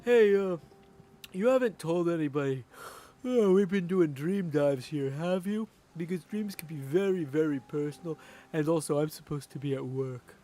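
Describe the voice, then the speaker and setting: A man voicing a hesitant, apologetic game character who talks in an awkward, halting, polite way. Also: on the high side, warm tone, close to an everyday voice. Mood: awkward, confiding.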